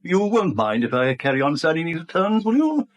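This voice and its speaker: An actor playing a bitter middle-aged British bank manager in a radio drama, brusque, indignant and clipped, on a dull vintage recording. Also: male voice, on the high side, very animated, a little fast. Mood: curt, irritated. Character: bitter, prickly long-serving male acting bank manager, older. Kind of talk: 1970s radio drama acting.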